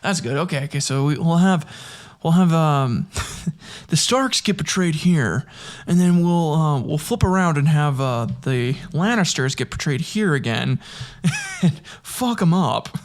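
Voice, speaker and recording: A man voicing an excited fantasy author who rambles and giggles while plotting betrayals. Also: a little high, a little slow. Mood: scheming glee.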